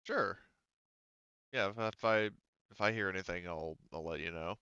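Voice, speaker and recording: A man voicing an easygoing, dutiful female soldier in a tabletop game, speaking in a halting, casual way. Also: low-pitched, pushed and bright, clean tone, dry recording, muffled recording. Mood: obliging.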